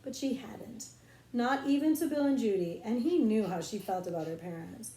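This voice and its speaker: A woman's voice: a little high, expressive, moderate pace, mellow, slight background noise. Woman reading a story in an echoey room. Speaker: audiobook reader, librarian. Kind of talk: expressive children's novel narration. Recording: roomy echo.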